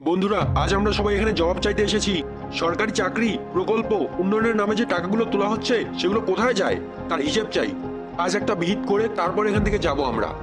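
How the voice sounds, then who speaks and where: An outspoken village uncle from a cartoon acted by a man, high in pitch and quick in pace. He exhorts people in plain words, with loud music behind him. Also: lively intonation. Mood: rallying. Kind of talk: comedy cartoon dubbing.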